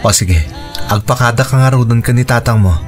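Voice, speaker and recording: A man's voice: medium pitch, fairly quick, faint music behind, close to an everyday voice. A man playing an earnest, reassuring widowed father who is courting, in a radio drama. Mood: earnest, tender. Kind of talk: Ilocano radio drama acting.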